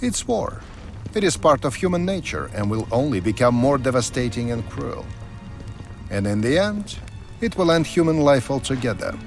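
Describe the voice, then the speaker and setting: Ruthless spymaster with sweeping, theatrical intonation. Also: male voice, medium pitch, big pitch swings, soft background music. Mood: cynical, brooding. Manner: menacing, deliberate, theatrical. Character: ruthless scheming male military spymaster, cynical about war. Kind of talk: dramatic video game cutscene acting.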